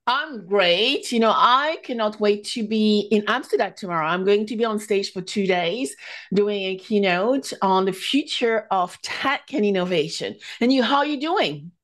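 A woman's voice: mid-range voice, animated, moderate pace, a bit bright. A woman hosting a business podcast, reading a scripted introduction and then interviewing a guest over a video call. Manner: enthusiastic, reads a scripted intro. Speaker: podcast host.

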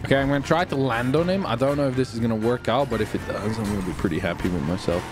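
A man hosting a gaming video, giving excitable roleplay gameplay commentary, talking to himself, swearing and breaking into shouts. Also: mid-range voice, racing, music in the background. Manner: excitable, swearing, shouting outbursts, self-talk.

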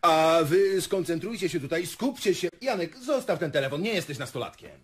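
An actor playing a fussy, bossy theatre director in a radio play, repeating himself in a patronising, chivvying way. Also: male voice, a little high, lively intonation, normal speed, slightly rough. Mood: irritated.

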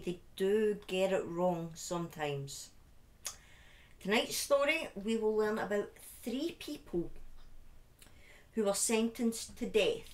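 A middle-aged British woman talks to her livestream audience as a storyteller. She has a slight speech impediment and an informal manner, with dramatic pauses, at a medium pitch close to a desk microphone. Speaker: live streamer telling true crime stories. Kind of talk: casual livestream chat and storytelling. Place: small dim room indoors. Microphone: desk microphone on a boom arm, close.

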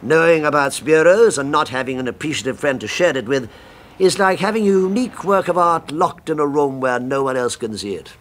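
A man's voice: fairly high pitch, expressive, a little fast, fairly bright tone. An actor playing an earnest, fussy gourmet businessman in a 1970s radio drama, with a precious, emphatic delivery.

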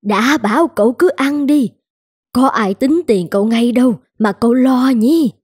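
An actress playing a kindly, brisk older woman who runs a noodle shop in a cartoon, plain-spoken and reassuring. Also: on the high side, a little mellow, slightly rough, no echo. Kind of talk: animated moral-tale voice acting. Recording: music under the voice.

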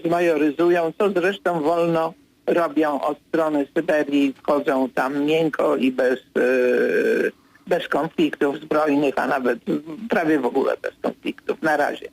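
A man's voice: on the high side, poor audio. An older man giving political analysis as a radio guest, speaking insistently and stressing his key points. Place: radio studio.

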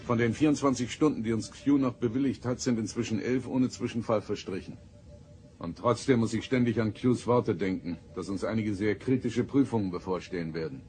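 An actor playing an authoritative, probing starship captain in a sci-fi audio drama, formal, measured and commanding. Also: male voice, lowish voice, background noise, close to an everyday voice. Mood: pensive.

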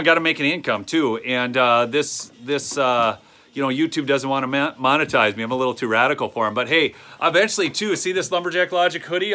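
A man's voice: fairly high pitch, pushed and bright. A man in his thirties with a Minnesotan accent, hosting a casual live stream from a wooden shed, rambling with many ums and addressing viewers directly. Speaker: channel host. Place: small wooden shed or garage, indoors. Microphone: phone camera held close.

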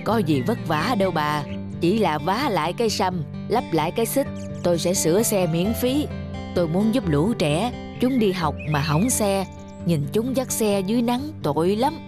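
A man voicing a kindly, generous old grandfather in a cartoon, with a gentle, reassuring manner. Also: high-pitched, animated, normal speed, over music. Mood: gentle, earnest. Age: older. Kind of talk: cartoon dub acting.

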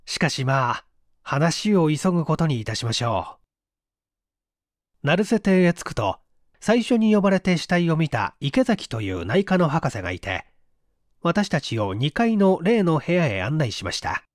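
A man narrating a mystery story as an audiobook reader, in an expressive, measured storytelling manner on a close microphone. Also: fairly high pitch, no room sound. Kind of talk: scripted literary narration, mystery story reading.

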